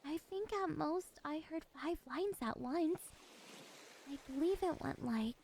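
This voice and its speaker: Girl doing a soft weak-voiced siren for asmr roleplay, high n mellow, gentle but predatory. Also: lively intonation, measured pace, vocal fry, slight background noise, exaggerated voice. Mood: fascinated, tender. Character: soft-voiced female siren, lonely, possessive, predatory, curious.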